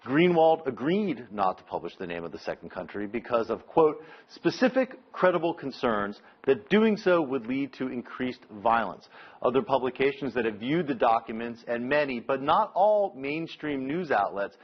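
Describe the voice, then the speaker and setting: An American man giving a live news report as a correspondent, explaining in a measured way and stressing key words. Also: medium pitch, big pitch swings, slightly rough, lo-fi sound.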